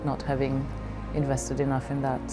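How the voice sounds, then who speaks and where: A middle-aged woman from Belgium telling her personal story in a reflective way, haltingly searching for her words. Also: extremely low pitch, monotone, over music. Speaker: leadership coach.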